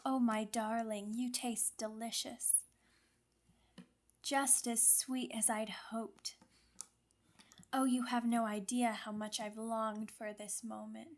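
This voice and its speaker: Woman acting slow, seductive sadistic vampire woman. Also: on the high side, little pitch movement. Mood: gloating, sensual. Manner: slow, deliberate, condescending pet names, teasing menace. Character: sadistic seductive female vampire, possessive, commanding, mock-tender. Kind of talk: ASMR roleplay, intimate scripted monologue.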